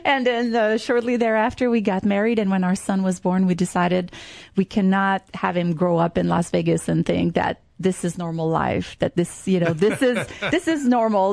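A woman's voice: lowish voice, gravelly, darkish tone, dry recording, studio quality. A middle-aged woman from Quebec with a French Canadian accent, speaking English as a second language and chatting enthusiastically about tourism in an interview, with frequent fillers. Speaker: tourism marketing guest. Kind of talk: conversational interview.

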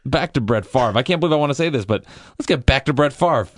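A man hosting sports talk radio, teasing and playful in loose banter. His voice sits at a middle pitch. He is close on a studio mic with dry sound. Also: expressive, quick pace, dry recording. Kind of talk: casual banter. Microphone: studio microphone at a mixing board.

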